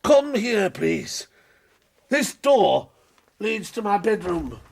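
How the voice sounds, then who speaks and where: Very high-pitched, creaky, English, Victorian gentleman, frightened, blustering, evasive, stammering, radio drama. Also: sing-song, takes it slowly, heavily acted. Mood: indignant. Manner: agitated, indignant, stammering. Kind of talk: radio period drama acting.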